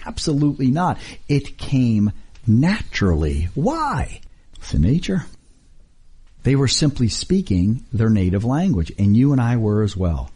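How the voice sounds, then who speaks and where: A middle-aged man preaching a radio sermon, emphatic and full of rhetorical questions; English is not his first language. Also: slightly rough. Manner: emphatic, rhetorical questions, lively swings in pitch.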